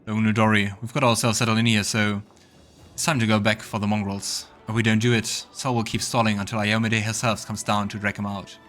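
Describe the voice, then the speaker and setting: A man's voice: on the low side, flat delivery, brisk, fairly bright tone, faint music behind, lightly acted. A man voicing a resolute, serious male companion fighter with earnest delivery in a game. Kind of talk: voiced game dialogue.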